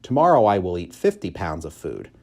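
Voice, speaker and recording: A man reading a children's story aloud in expressive, measured, clearly phrased narration. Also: deep voice. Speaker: audiobook reader, school staff member.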